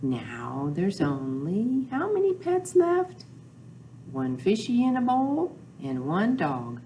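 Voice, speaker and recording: An older woman hosts a storytime for young children with rhymes and counting. She speaks very slowly in a sing-song, repetitive way, with pitch that moves up and down a lot. There is some background noise behind her. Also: wildly swooping pitch, dark tone. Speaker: children's librarian, storytime host. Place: small indoor room with whiteboard.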